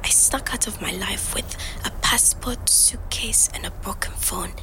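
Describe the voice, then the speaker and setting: A woman playing a reflective young migrant, speaking measured, introspective fragments as a scripted audio diary. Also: mid-range voice, whispered, natural voice, low-quality recording. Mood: hushed, secretive. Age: young adult. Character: reflective young African migrant woman recording private night-time notes.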